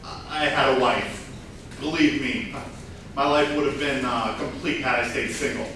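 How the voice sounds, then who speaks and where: A man's voice: medium pitch, steady tone, moderate pace, sharp tone, natural voice. Actor as wry male guest in echoing room. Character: wry, jaded divorced man, party guest. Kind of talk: staged comedy play reading. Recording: very echoey room, distant mic, noisy.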